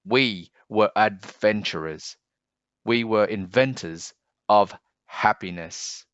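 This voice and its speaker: Guy reading to kids, really slow n deliberate, lowish voice, muffled roomy sound. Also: a bit bright, smooth. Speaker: storybook reader, host. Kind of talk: read-aloud storytelling for children. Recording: dull, roomy home recording.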